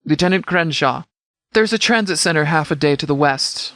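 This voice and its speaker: An actor playing a stern but caring military commander in a video game, speaking in a measured, formal, authoritative way. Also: male voice, a little high, fairly bright tone, no echo, clean studio sound. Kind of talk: scripted game dialogue.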